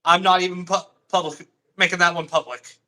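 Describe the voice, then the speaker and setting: A man's voice: high voice, little pitch movement, brisk, crowd noise. A young man who makes music, talking casually and earnestly as a guest on a livestream.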